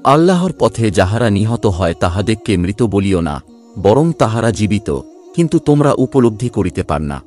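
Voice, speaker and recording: A man narrating a scripture translation in a formal, solemn scripted reading with measured phrasing. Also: lowish voice, sing-song, a little mellow, soft background music.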